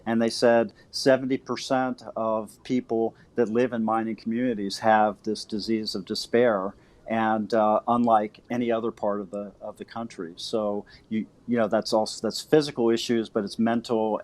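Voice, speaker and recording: A man answering questions informally as a playwright, halting and repeating the starts of his words. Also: lowish voice, little pitch movement, darkish tone. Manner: hesitant, halting, repeating word beginnings.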